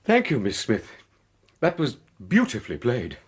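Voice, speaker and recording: A courteous husky suitor. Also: male voice, mid-range voice, wildly swooping pitch, normal speed. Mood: tender. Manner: formal, courteous, insistent. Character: male suitor, polite, earnest and pressing. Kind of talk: radio drama acting.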